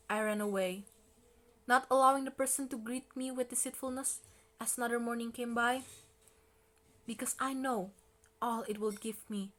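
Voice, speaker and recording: A woman reading poetry aloud in an expressive recitation style. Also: fairly high pitch. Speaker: poetry reader.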